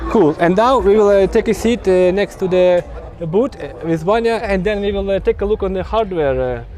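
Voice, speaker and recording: Male YouTube host, high and dark-toned, hesitant interviewer outdoors. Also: brisk. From Ukraine. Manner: hesitant, many fillers and restarts. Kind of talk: casual on-site interview. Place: outdoor event stand.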